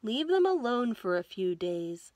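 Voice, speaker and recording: A woman's voice: on the high side, big pitch swings, unhurried, darkish tone, no echo, muffled recording. A woman voicing a kindly, sensible male frog from a children's story, in a reassuring, instructive way.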